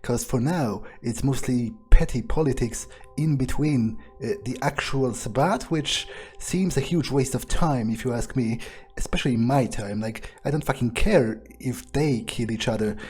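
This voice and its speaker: A man playing a jaded, impatient vampire in a video game, thinking aloud in a halting, profane, sardonic monologue. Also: mid-range voice, a little mellow, husky, soft background music. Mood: bored, annoyed. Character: jaded, foul-mouthed male vampire, contemptuous and impatient.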